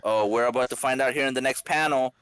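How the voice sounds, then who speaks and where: A man's voice: medium pitch, fast, a crowd in the background. A man hosting a comedy podcast, switching between playful narration and casual banter. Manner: jokey, playful.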